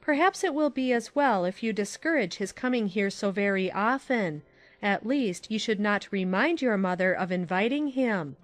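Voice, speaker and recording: A woman playing a sensible, kindly aunt in an audiobook drama, giving grave advice in a measured, admonishing manner. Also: medium pitch, expressive, no room sound, faint music behind, lightly acted. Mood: grave, gentle reproof.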